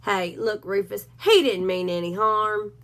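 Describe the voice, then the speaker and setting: A woman's voice: mid-range voice, unhurried, fairly bright tone, natural voice. A woman voicing a self-important male cowdog who talks in a dry, wry, drawling way.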